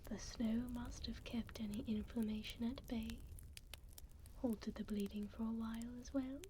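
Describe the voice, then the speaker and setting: A woman playing a gentle, refined vampire duchess in an ASMR roleplay, speaking in a soothing, elegant, breathy whisper close to a binaural microphone. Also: fairly high pitch, fairly even intonation, slight background noise, close to an everyday voice. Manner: soothing, slow, elegant, tender.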